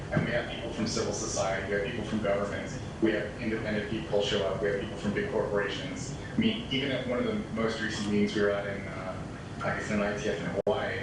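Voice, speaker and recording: A man talking off the cuff as a panelist at a conference. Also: fairly low pitch. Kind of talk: spontaneous panel talk. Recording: very echoey, distant, noisy conference audio.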